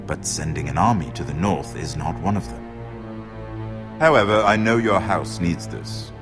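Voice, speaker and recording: An actor playing a shrewd, wry noble lord and royal treasurer in a fantasy game, speaking in a measured, worldly way. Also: male voice, low voice, music in the background. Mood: guarded warning. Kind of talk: dramatic video game dialogue.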